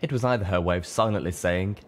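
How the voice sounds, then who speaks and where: A man's voice: low voice, quick pace, a little hiss or hum. A man reading a scripted first-person fantasy story aloud as narrator. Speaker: audiobook reader.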